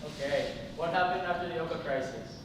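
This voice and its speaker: A man's voice: on the high side, fairly quick. A man speaking informally as a forum panelist, putting questions to the audience in a casual, tentative way. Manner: casual, questioning, hedging with "like" and "right". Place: large indoor arts venue. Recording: noisy, echoey hall recorded from far off.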